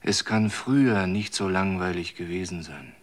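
A man playing a weary, bored figure who speaks slowly and sometimes sinks into whispers. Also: deep voice, lightly acted. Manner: weary, flat, slow, drops to whispers. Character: bored man longing for any excitement. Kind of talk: radio drama acting.

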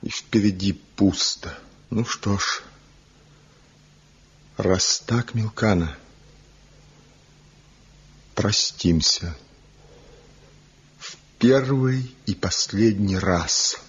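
An actor playing Zhivko, a passionate rejected lover and master carver, in slow, brooding, impassioned declamation in an old radio drama. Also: male voice, on the low side, breathy edge, exaggerated voice. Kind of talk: 1970s radio drama acting. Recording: dull lo-fi old radio recording with music.

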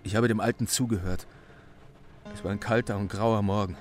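Actor as low-voiced, haunted young man confessing. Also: steady tone, brisk, faint music behind, natural voice. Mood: numb, subdued. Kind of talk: radio drama monologue, recounting in past tense.

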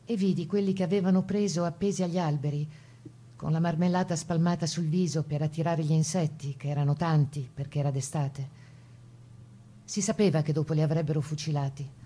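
A woman playing Italian partisan women who recall the Resistance, reading their testimony for radio in an earnest, measured way. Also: low voice, warm tone. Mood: grave, resolute.

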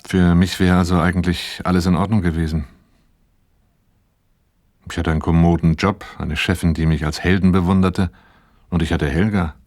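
A man's voice: low-pitched, little pitch movement, normal speed, pauses often, a little mellow, dry recording, clean studio sound, natural voice. An actor playing a troubled, dutiful working man in a radio play, recounting his life to his therapist in a matter-of-fact, reflective way. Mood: wry, resigned. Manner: matter-of-fact, reflective, acting out remembered dialogue. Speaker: protagonist and first-person narrator of a radio play. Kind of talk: 1980s German radio play acting, confessional monologue.

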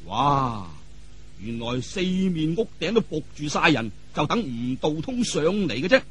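A man's voice: fairly high pitch, big pitch swings, measured pace, slightly rough. A man telling a martial arts tale in Cantonese in a theatrical, colloquial traditional storytelling style, full of vivid exclamations and spoken sound effects. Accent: Hong Kong or Guangdong Cantonese.

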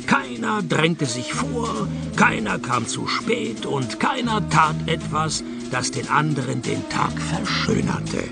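A wry private detective from a radio play. His voice sits fairly high with a clear fry in it. He delivers narration and retorts sardonically, with music behind him. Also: huge pitch swings, slow, hardly any pauses, exaggerated voice. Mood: dry narrating. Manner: dry, sardonic. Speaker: lead actor and narrator.

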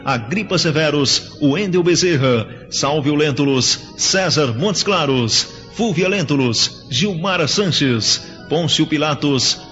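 A man with a fairly high voice reads out the credits of a radio drama in a measured, formal way. His voice carries a long reverb and sounds slightly muffled, with music underneath. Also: expressive, fairly bright tone. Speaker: credits announcer. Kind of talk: reading production credits.

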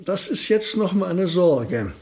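An older actor in a German radio play playing a polite, wry, absent-minded elderly physics professor. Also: male voice, sits high, sing-song, measured pace, a little mellow, exaggerated voice.

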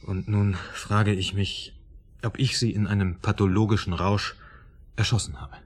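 A man's voice: fairly low pitch, steady tone, moderate pace, husky. An actor playing a troubled, guilt-ridden male writer in a German radio drama, speaking in an earnest, insistent, articulate way. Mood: grave.

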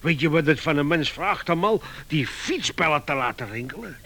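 Earnest, flustered man, sharp bright voice. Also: fairly high pitch, animated, moderate pace, exaggerated voice. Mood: insistent, earnest. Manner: hesitant, stammering, insistent. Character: Sam, earnest flustered visitor defending his inventor friend. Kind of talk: radio comedy drama acting.

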